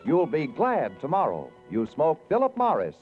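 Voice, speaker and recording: A male radio pitchman from the 1940s with a high, extremely animated, emphatic delivery. Music plays behind him. The old recording is dull. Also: normal speed. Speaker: commercial announcer. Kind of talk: 1940s radio cigarette advertisement.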